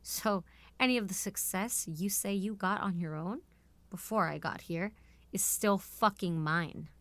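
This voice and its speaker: A woman's voice: mid-range voice, unhurried, a bit bright, dry recording. A woman playing a defiant, no-nonsense military strategist in a scripted drama, speaking in a firm, assertive way. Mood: angry, defiant. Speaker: voice actor in audio drama.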